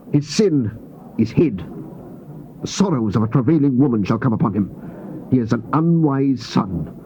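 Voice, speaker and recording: A man gives a grave, theatrical scripture reading, declaiming with deliberate phrasing and emphatic pauses. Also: mid-range voice. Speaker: scripture narrator. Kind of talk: dramatized Bible reading, solemn and theatrical. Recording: dull, noisy old cassette tape transfer.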